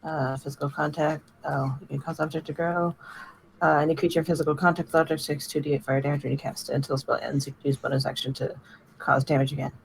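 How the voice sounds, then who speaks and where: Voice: medium pitch, level tone, quick pace, gravelly, slight background noise, poor audio. A player in a tabletop roleplaying game, chatting in a playful, informal way and reading out rules. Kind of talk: casual game chat.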